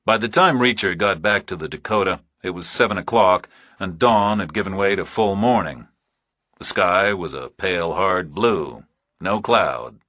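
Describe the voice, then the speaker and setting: A man reading scripted thriller narration as an audiobook narrator, in measured, terse phrases. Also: low voice, bright, forceful tone, dry recording, clean studio sound.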